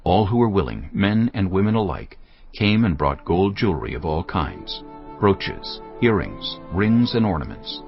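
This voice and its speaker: Male narrator, very deep, reverent and measured, faint music bed. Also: sing-song. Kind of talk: scripted narration of scripture. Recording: dull studio recording with soft music. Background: soft background music.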